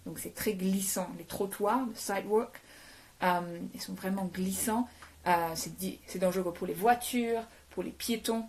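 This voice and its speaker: A woman from France giving a casual French lesson on a phone live stream. She chats, greets viewers, answers their comments and slips English words into her French. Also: mid-range voice. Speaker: French teacher. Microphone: phone held for a live stream.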